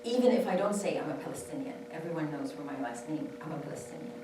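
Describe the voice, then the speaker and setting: Panelist woman raised in Saudi Arabia, low voice, earnest personal story, heard from a distance. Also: animated, fast, noisy background. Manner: earnest, repeats phrases for stress. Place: temple hall. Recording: very echoey hall from far off.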